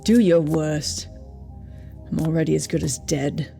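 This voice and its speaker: A woman playing a bitter, guarded android assassin in a radio drama, speaking in a formal, grave and measured manner. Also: sits low, gravelly, dark tone, faint music behind. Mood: resigned.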